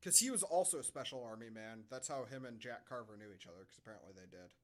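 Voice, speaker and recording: A man's voice: mid-range voice, animated, fast talker. A man co-hosting a podcast, giving a casual, comedic movie recap in a sardonic manner. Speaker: podcast co-host.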